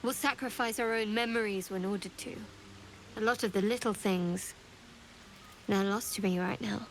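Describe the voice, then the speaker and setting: A woman voicing a guarded, wry young female cleric in a video game, soft and teasing in her delivery. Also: medium pitch, steady tone, normal speed, slight background noise, close to an everyday voice, poor audio. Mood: guarded, confiding. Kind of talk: video game drama acting.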